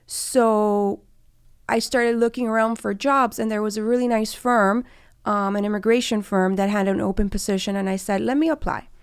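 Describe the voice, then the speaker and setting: A woman's voice: mid-range voice, fairly even intonation, normal speed, smooth, dry recording, clean studio sound. A woman in her forties from Cuba, telling her own story conversationally as an interview guest. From born in Cuba, came to the US as a child. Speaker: guest, immigration lawyer and law firm partner.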